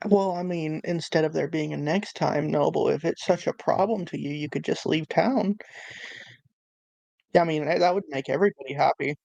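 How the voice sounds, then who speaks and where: A man's voice: on the high side, moderate pace, vocal fry, darkish tone, no echo, low-quality recording. A man playing a snide, unbothered townsperson in a fantasy tabletop game, casually sarcastic.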